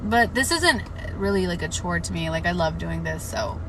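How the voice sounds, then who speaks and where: A young woman chatting casually on a live stream about books, frank and swearing freely, her voice a bit hoarse from overuse. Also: on the low side, animated, moderate pace, noisy background. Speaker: book livestreamer.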